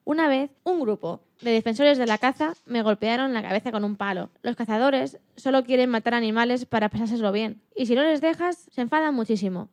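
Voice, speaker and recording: A woman's voice: a little high, brisk, hardly any pauses, no room sound, close to an everyday voice. A woman playing an animal liberation activist and mother who tells her story earnestly in an acted radio interview, stumbling slightly now and then. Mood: earnest, indignant.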